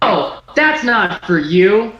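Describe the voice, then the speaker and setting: High, bright man in a roomy space. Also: big pitch swings, slow pace, highs cut off. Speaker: friend commenting and joining in on the reading. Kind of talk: casual joking banter. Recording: roomy, faintly noisy mic with clicks.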